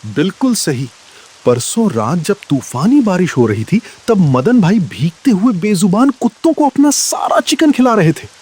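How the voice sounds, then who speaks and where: An actor playing a fair-minded village uncle in a cartoon story, husky and emphatic. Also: male voice, sits high, big pitch swings, moderate pace, a little mellow, exaggerated voice. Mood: earnest defence. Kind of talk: dramatic Hindi moral-story cartoon acting.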